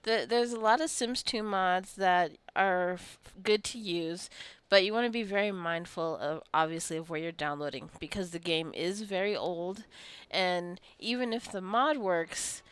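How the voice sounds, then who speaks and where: Smooth-voiced woman chatting over gameplay. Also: lowish voice, a little slow, no room sound. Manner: rambling, chatty asides. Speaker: gaming video creator. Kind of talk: casual gameplay commentary.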